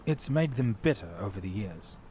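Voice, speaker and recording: A man playing a courteous, watchful young knight commander in an audio drama, speaking formally and earnestly in measured phrases. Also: fairly low pitch, lively intonation, dark tone, slight background noise, natural voice. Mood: cautioning.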